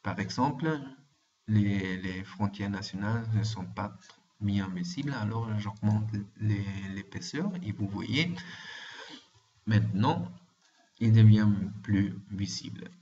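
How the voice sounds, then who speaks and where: A man with a German accent speaking French, walking through a software tutorial with frequent hesitant fillers. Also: lowish voice, expressive, takes it slowly. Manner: hesitant, frequent "euh" fillers, careful explaining. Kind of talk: screen-recorded software walkthrough. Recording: muffled lo-fi voice-over with faint hiss.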